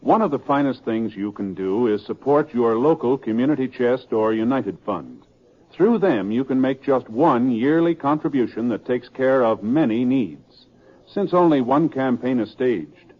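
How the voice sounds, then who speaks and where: An American man reading a script as a formal, measured 1950s radio announcer. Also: medium pitch, lively intonation. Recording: muffled old radio recording with music.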